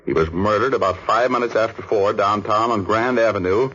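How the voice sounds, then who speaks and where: An actor playing a hard-boiled, sardonic, bullying private detective in slangy 1940s radio crime drama style. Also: male voice, on the low side, a little fast, a bit bright, breathy edge. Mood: menacing. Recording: noisy, muffled 1940s radio recording.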